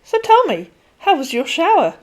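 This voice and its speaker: Brief: a wheedling, stilted con man who turns bullying, played by a woman reader; high, swooping and overdone. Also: huge pitch swings, moderate pace, full character voice, low-quality recording. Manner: stilted phrasing, syrupy darlings, sudden pushy demands. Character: pushy male online romance scammer, wheedling then bullying. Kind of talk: read-aloud storytelling.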